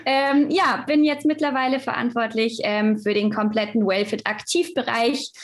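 A woman in her twenties with a light Swabian accent, a podcast guest chatting casually and lively, laughing often and using many fillers. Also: fairly high pitch, moderate pace. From Stuttgart, Germany. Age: 20s. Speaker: podcast guest, fitness manager. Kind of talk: casual interview chat.

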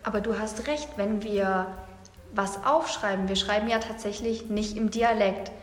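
A woman's voice: mid-range voice, moderate pace. Woman with a Saxon accent, casual podcast talker, reverberant room. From Saxony, Germany. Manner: chatty, thinks aloud with fillers. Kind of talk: casual discussion. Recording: echoey room with long reverb.

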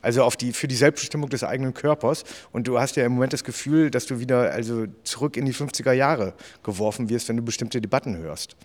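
Casting: male podcast host, mid-range voice, wry, halting, colloquial, recorded with noticeable reverb. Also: medium pitch. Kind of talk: casual political chat.

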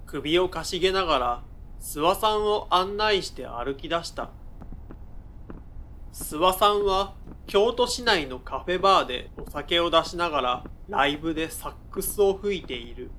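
A man playing a deferential young man who narrates an audio drama in the first person, in a measured, understated, literary way. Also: fairly high pitch, lively intonation, pushed and bright, a little hiss or hum. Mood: reflective, measured. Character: young male former student dancer narrating, deferential to elder friend.

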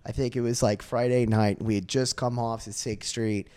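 A man's voice: medium pitch, steady tone, moderate pace, warm tone, clean tone, dry recording. Joking man in his twenties, dry room. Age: 20s. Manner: crude, joking, storytelling. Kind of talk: casual podcast chat.

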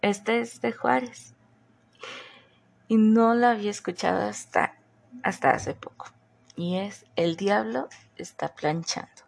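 A woman's voice: medium pitch, fairly even intonation, a little slow, creaky, pauses often. A woman from Mexico with a mixed Mexico City, Morelos and northern Mexican accent, hosting a chatty podcast and putting questions to her listeners. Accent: Mexican Spanish, mixed Mexico City, Morelos and northern. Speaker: podcast host. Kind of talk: casual podcast monologue.

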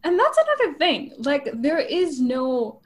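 A woman from India, a native English speaker, hosting a podcast and talking thoughtfully with pauses. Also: high-pitched, huge pitch swings. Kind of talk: casual podcast conversation.

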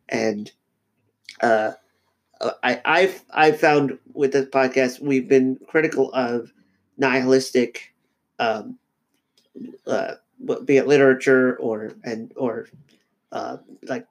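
A man's voice: medium pitch, a little mellow. Nasal man with a cold, thinking aloud. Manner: halting, many fillers and restarts. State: has a cold, slightly nasal. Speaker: podcast co-host. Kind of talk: casual podcast discussion.

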